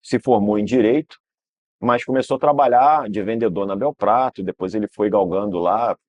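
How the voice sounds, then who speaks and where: A man's voice: fairly low pitch, normal speed, a little mellow, no room sound, muffled recording. A Brazilian man, a guest in an interview telling long family stories, rambling and restarting with frequent tag questions. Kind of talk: relaxed storytelling interview.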